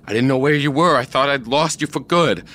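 A man's voice: sits low, normal speed, a bit bright. An actor playing a possessive, volatile man who swings between whispered threats and desperate pleading. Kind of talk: audio drama acting.

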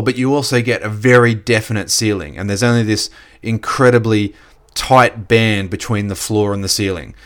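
A man with a slightly croaky voice giving a casual motivational business talk, full of "you know" fillers. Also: fairly low pitch. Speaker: network marketing coach. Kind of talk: motivational solo podcast talk.

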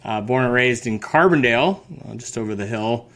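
A man in his forties from Colorado, a podcast guest telling his story in relaxed, casual chat. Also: medium pitch, fairly quick. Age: 40s. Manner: relaxed, many "uh" fillers, self-deprecating.

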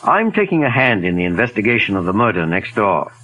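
Courteous radio detective, lively intonation. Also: male voice, on the low side, rough recording. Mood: polite, measured. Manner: polite, measured, inquisitive. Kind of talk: 1940s radio detective drama acting. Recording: old 1940s radio recording.

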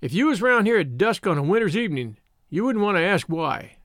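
A man's voice: high-pitched, sing-song, brisk, fairly bright tone, no room sound, studio quality. A man voicing a plain-spoken country shepherd in a story, earnest and cautioning, with rustic phrasing. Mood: stern warning.

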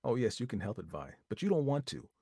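A man's voice: mid-range voice, very animated, fast, mellow, husky, dry recording, clean studio sound, pitched above the performer's own voice. A man reading aloud voices a bossy, scornful young woman who snaps and talks vulgar when angry.

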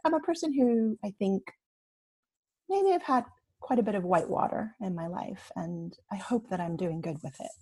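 A woman's voice: mid-range voice, expressive, normal speed, darkish tone, slightly rough. A woman in her fifties from Canada, a coach speaking as a podcast guest, reflective and laughing often. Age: 50s.

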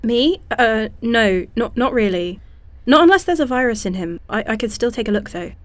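An actress playing a shaken, self-deprecating scientist in an audio drama, speaking haltingly with dry, rambling humor. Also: female voice, a little high, expressive, no echo, close to an everyday voice. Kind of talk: audio drama acting, diary monologue.

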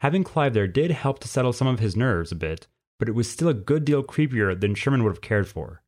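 A man reading a scripted story as narrator, in an expressive way. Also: medium pitch, a little fast.

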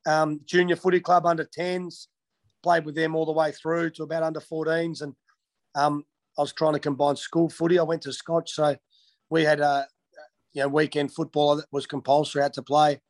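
A man's voice: a little high, little pitch movement, moderate pace. An Australian man from Western Australia in his thirties, answering interview questions casually with many ums and restarts. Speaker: interviewee, former footballer.

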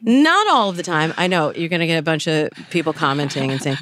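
A woman's voice: low-pitched, animated, brisk, fairly bright tone. A woman speaking as a dating coach and interview guest, explaining things conversationally and with emphasis. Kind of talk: conversational expert advice.

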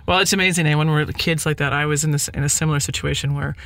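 A Canadian man interviews in a casual, conversational way. His voice sits a bit high for a man and has a crackly fry, and he pauses and restarts as he thinks aloud. The recording is dry. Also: steady tone, fairly bright tone, dry recording. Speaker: interviewer, host.

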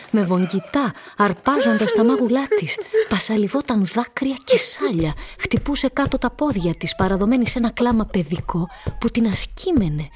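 A woman narrating a radio play as a literary storyteller, measured and descriptive. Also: medium pitch, lightly acted. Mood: intent storytelling.

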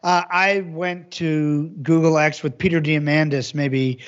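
A male podcast host with a somewhat raised pitch reads a guest introduction and then chats. He sounds enthusiastic and stumbles now and then, repeating words. The sound is dull and lo-fi. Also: steady tone, unhurried. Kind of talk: reading a guest bio, then conversational questions.

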